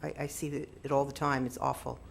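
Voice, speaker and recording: A woman with a very deep voice speaks at a council podium. She is earnest and searching, with a gravelly edge to her voice. Faint room noise runs behind her. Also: little pitch movement, quick pace. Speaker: public commenter. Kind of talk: public comment.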